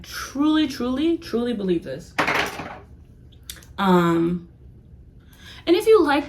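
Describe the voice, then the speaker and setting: A young woman chatting casually to her viewers in a rambling review, laughing often and filling pauses with "like" and "um". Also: a little high, slight background noise. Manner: chatty, giggly, rambling with many fillers. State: losing her voice. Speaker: vlogger reviewing comics.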